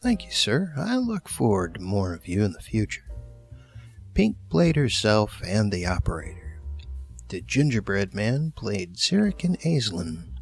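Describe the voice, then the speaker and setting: Man, low lively voice, unhurried, host reading outro credits, faint music behind. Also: gravelly, slightly rough. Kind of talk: casual scripted outro.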